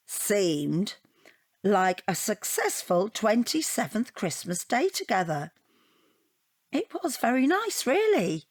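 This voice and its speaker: A middle-aged British divorcee in an audio comedy drama. Her voice sits fairly low and is recorded dry and close. She rambles chattily, wry and defensive, forever justifying herself. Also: no echo, heavily acted.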